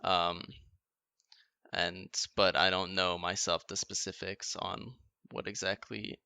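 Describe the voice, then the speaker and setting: Deep crisp-voiced young man explaining history. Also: flat delivery, takes it slowly, pushed and bright, no room sound. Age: young adult. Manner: explains events, loose with fillers. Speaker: podcast co-host. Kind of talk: casual history chat.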